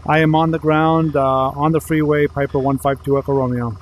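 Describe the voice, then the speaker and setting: A man thinking aloud haltingly as he plays a pilot in a flight simulator, mixing commentary with radio calls. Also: fairly high pitch, flat delivery, slight background noise.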